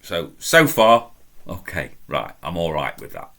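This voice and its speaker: Bloke, deep punchy voice, chatting through a story about himself, bit self-deprecating and emphatic. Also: male voice, sing-song, a little slow, a bit bright. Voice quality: deep, strong voice. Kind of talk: casual anecdotal storytelling.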